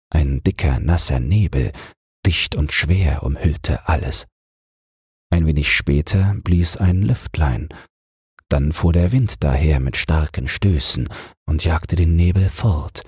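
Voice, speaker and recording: A man with an extremely low, breathy and husky voice narrates a bedtime fairy tale. His delivery is slow, measured and soothing, recorded dry and close. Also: a little mellow, no room sound. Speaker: audiobook reader.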